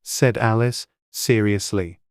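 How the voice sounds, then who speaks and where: A woman narrates a scripted storybook. Her voice is extremely low and her pace is slow and measured. The recording is dry, with no audible room. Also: a little mellow, dry recording. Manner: measured, unhurried. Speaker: audiobook narrator.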